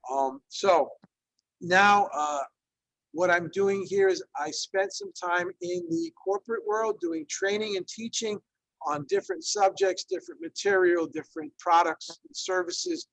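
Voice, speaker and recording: Man in his fifties, from Ecuador, high voice, gravelly, dry close sound, video call, chatty teacher full of fillers. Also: sing-song, measured pace, fairly bright tone, no echo, rough recording. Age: 50s. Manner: conversational, many fillers, frequent check-ins. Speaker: Spanish teacher leading a workshop. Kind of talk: online workshop presentation.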